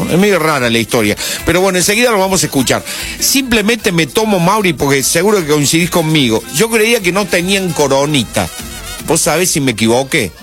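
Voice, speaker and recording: A man's voice: on the high side, fairly bright tone. A man with an Argentine accent giving pointed, conversational sports commentary on radio, full of rhetorical questions. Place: radio studio.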